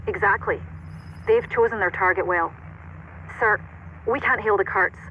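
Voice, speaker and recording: A woman's voice: mid-range voice, unhurried, close to an everyday voice. Woman as composed intelligence officer, dull muffled sound. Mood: grave, precise. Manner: formal, precise, informative. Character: composed female military intelligence officer, Oracle. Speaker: intelligence officer briefing a commander. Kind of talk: cinematic military game acting. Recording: dull band-limited radio-like sound, faint noise.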